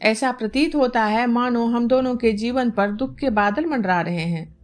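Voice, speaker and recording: A woman's voice: fairly high pitch, normal speed, lightly acted. Woman voicing a troubled, tender nobleman. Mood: uneasy. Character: troubled, tender noble male lover, melancholy.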